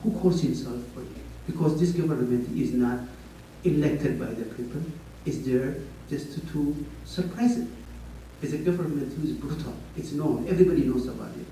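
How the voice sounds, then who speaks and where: A man's voice: medium pitch, moderate pace, dark tone. A man with an Ethiopian accent giving an earnest, rallying public speech, repeating points for stress. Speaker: speaker at a community meeting. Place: large echoey meeting hall. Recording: echoey hall, mic far off, faint hiss.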